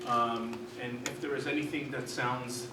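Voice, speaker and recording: Man in his 30s from Israel, guest speaker, halting delivery with many fillers, recorded from a distance in a roomy hall. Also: mid-range voice, level tone, heavy background noise. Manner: hesitant, many fillers and restarts. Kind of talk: public talk. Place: lecture hall. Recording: echoey hall from far off.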